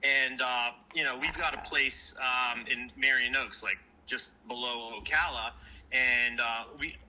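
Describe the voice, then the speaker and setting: A man from southwestern Pennsylvania, a prize winner on the phone, talking haltingly with many fillers and restarts. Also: medium pitch, fairly even intonation, pushed and bright, poor audio. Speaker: caller, giveaway winner. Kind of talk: phone conversation.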